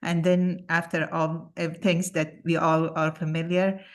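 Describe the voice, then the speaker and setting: An older woman from Afghanistan, who works as an architect, introduces herself in an online meeting. She is modest and halting, and she uses many fillers. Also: sits low, little pitch movement. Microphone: video call.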